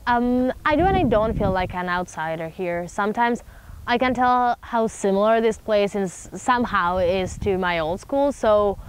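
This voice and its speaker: A woman's voice: medium pitch, lively intonation, normal speed, clear, resonant tone, poor audio. A teenage girl from Spain with a Spanish accent, a native Spanish speaker giving a documentary interview in a chatty way, full of "like" and "I don't know". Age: teens. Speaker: student interviewee.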